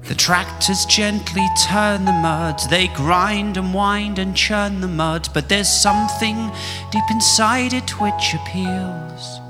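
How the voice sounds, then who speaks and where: A man singing his own folk song with drawn-out phrasing. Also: sits high, loud music behind the voice. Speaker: songwriter.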